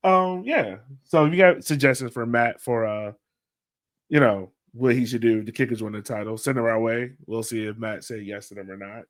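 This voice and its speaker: A man's voice: mid-range voice, normal speed. A man hosting a podcast in casual chat, with an informal, slangy and emphatic way of talking. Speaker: podcast host reading listener questions.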